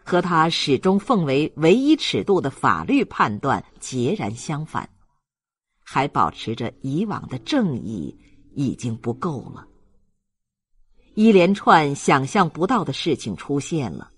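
Slow, expressive male audiobook narrator. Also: on the high side, breathy edge. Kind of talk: scripted literary narration.

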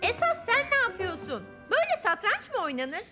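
Very high, chirpy cartoon little girl. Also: huge pitch swings, a little fast, full character voice. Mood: eager, helpful. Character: eager, meddlesome, cheerful little girl eager to help. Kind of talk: children's cartoon voice acting. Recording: music behind the voice.

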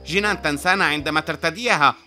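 A man's voice: a little high, fast talker. A man playing a sly, mocking wolf in a children's cartoon, grumbling and teasing in a sardonic way. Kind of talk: children's cartoon dub acting. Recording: loud music under the voice.

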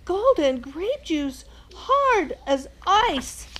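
Woman voicing an excitable high-pitched storybook king. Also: very slow, exaggerated voice. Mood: dismayed, frantic. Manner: exclamatory, theatrical. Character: gold-obsessed, excitable king, dismayed and hungry. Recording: clearly noisy room recording.

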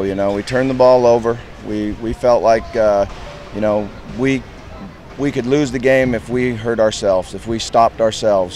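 A man in his fifties, a head football coach, answering reporters outdoors at a stadium in a plain, measured way with lots of "you know" fillers. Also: on the low side, darkish tone, a little hiss or hum. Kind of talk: post-game press interview.

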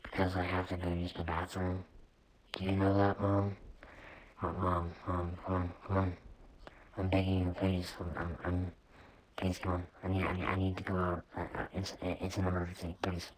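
A man voicing a needy, begging pet dog in a home-made comedy, husky and nagging through a pitch-lowering voice changer. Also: completely flat delivery, normal speed, low-quality recording. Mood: urgent pleading. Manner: pleading, nagging, repetitive.

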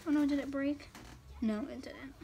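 A teenage girl vlogging in a casual, jokey way, her voice a little raspy from short sleep, filmed on a phone at arm's length in a parked car. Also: sits high, steady tone, normal speed, background noise. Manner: chatty, jokey, lots of "like" and "literally". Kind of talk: casual vlog chat. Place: parked car on a rainy day. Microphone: phone held at arm's length.

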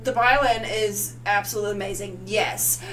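A woman's voice: mid-range voice, fairly even intonation, moderate pace, fairly bright tone. A woman in her thirties chatting casually and emphatically as she co-hosts a reaction video at home. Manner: gushing, emphatic. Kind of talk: casual chat, music reaction. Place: small home room, indoors. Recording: distant mic, faint background hum.

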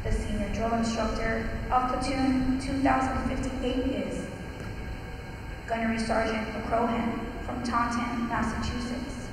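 A woman's voice: a little high, flat delivery. Woman announcing in an echoey hall. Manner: formal, measured roll-call delivery. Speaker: ceremony announcer. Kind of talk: formal ceremony announcement. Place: large indoor drill hall. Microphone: PA system heard from a distant camera. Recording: echoey hall over a PA, from far off, with clear noise.

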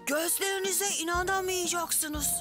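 A woman voicing an eager, restless young schoolboy in a cartoon dub, pleading and excitable. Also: very high voice, measured pace. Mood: excited. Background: music.

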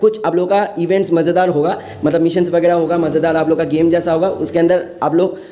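A man's voice: a little high, steady tone, fast talker, dark tone. A young man with an Indian accent giving a casual gaming explainer as a host, repeating himself and mixing in English words.

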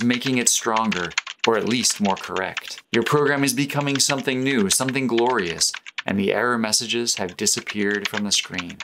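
A man speaks as a meditation guide, at a middling pitch. His delivery is measured and soothing, with earnest, unhurried instructions that lead the listener along. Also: fairly bright tone. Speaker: meditation guide, programming teacher.

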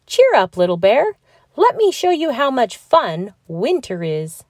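A woman doing a gentle little snowman. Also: on the high side, huge pitch swings, measured pace, dry recording, studio quality, pitched above the performer's own voice, exaggerated voice. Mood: cheerful. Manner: gentle, reassuring. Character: friendly cheerful little snowman, gentle and kind.

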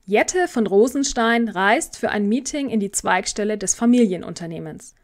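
A woman's voice: mid-range voice, lively intonation, moderate pace. A woman delivering a scripted introduction as a podcast host.

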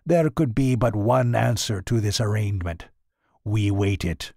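A man's voice: lowish voice, a little slow, creaky, dark tone, no room sound, studio quality, voice pushed down. Man voicing a cold, hard old alien elder.

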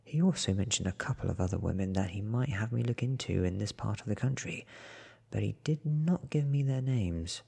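A man reads a story in soft ASMR style, very deep and hushed. His voice is extremely breathy, often near a whisper, with a crackling fry underneath. He delivers each phrase slowly and deliberately. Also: lively intonation. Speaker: reader. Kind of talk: soft-spoken ASMR audiobook reading.

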